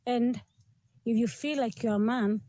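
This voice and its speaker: A woman's voice: on the high side, steady tone, a little slow, warm tone. A woman with an African accent speaking earnestly, admonishing and pleading straight to her listeners. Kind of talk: direct address opinion monologue to camera.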